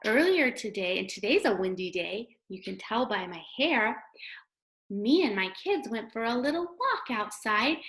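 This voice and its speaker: Slow, higher-voiced woman teaching young children. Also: fairly bright tone. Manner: simple, child-directed talk with questions and sounded-out letters. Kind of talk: read-aloud lesson for young children.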